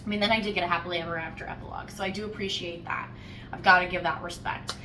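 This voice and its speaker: A woman's voice: lowish voice, very fast. Chatty woman in an echoey room. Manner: animated storytelling with playful, wry emphasis. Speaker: host of a book discussion podcast. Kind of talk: casual, chatty book review. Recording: roomy echo, distant mic, faint noise.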